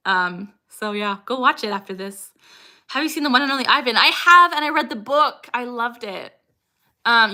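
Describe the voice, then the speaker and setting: A woman's voice: mid-range voice, sharp tone. A young woman chatting casually on a livestream and answering viewers' questions. She is upbeat and laughs often, and her sentences are broken by sudden vocal tics.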